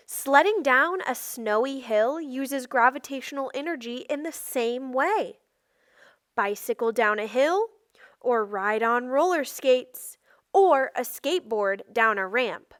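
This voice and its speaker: A woman with a fairly high voice reads educational non-fiction aloud for children. She goes slowly and instructionally, in short separate phrases, and the recording is dry and close. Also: a bit bright, dry recording. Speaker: read-aloud narrator.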